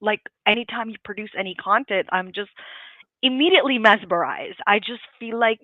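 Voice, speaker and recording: A woman's voice: mid-range voice, animated, a little fast, dry recording. A woman hosts a live online interview, talking in an effusive, gushing way and piling on fillers as she goes. Her voice comes through a thin, phone-like line that sounds dry, with no room around it. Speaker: interview host.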